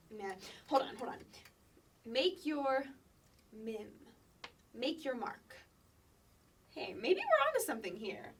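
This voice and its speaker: Woman playing high-voiced bossy little girl. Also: far from the mic, a little hiss or hum, heavily acted. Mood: musing. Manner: pompous, officious. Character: bossy, precocious kindergarten girl managing her twin brother. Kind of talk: staged sketch comedy reading.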